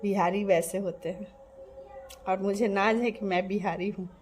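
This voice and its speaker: Woman vlogger from Bihar, earnest and emphatic monologue to camera, average pitch. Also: fairly even intonation, moderate pace, darkish tone, faint music behind. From Bihar, India. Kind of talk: casual direct-to-camera monologue.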